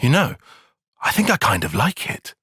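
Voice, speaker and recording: A man's voice: medium pitch, wildly swooping pitch, normal speed, sharp tone, slightly rough, no echo, heavily acted. A man playing an analytical, guarded young soldier strategist in a video game, speaking precisely and articulately with a dry, sarcastic edge. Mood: quiet, reflective. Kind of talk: video game cutscene voice acting.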